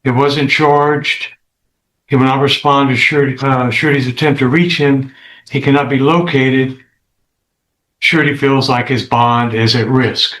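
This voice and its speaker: A man's voice: medium pitch, steady tone, unhurried, fairly bright tone. A male defense attorney questioning a witness in a courtroom. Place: indoor courtroom. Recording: echoey courtroom sound.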